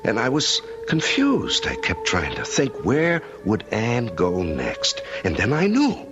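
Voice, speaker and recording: Gentle fatalistic husband, deep, unhurried, swooping intonation, muffled old radio drama. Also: male voice, wildly swooping pitch, full character voice. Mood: searching, confused. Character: eerie, gentle returned husband, quietly fatalistic. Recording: muffled old radio, loud music behind.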